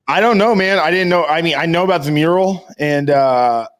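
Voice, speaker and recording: A man's voice: fairly high pitch, normal speed. An American man chatting casually as a podcast co-host, rambling and emphatic, with slangy talk and free swearing.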